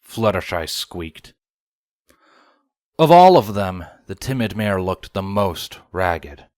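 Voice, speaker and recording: A man reads scripted story narration in a low voice. The recording is close and dry, with no room sound around him. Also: very animated, slow pace, darkish tone, dry recording.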